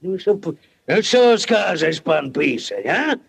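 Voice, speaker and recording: A self-important village headman from an old radio play. His husky voice swoops widely as he blusters and stammers, dragging out his words with great weight. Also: sits high, sing-song, slow pace, full character voice. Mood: blustering, commanding. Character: pompous, blustering village headman, middle-aged or older. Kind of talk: 1940s radio play acting. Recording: old 1940s radio recording.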